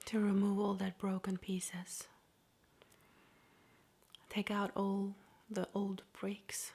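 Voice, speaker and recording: A woman speaks in a hushed, very breathy whisper, as in a guided ASMR meditation. Her pace is slow, with long pauses, and her pitch stays flat and even. The delivery is gentle and soothing.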